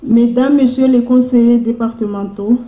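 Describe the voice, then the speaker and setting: A woman giving a formal welcome speech as mayor at a conference, in solemn, measured phrases. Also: a little high, steady tone, mellow. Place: large room at a public conference. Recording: dull, echoey conference hall PA sound.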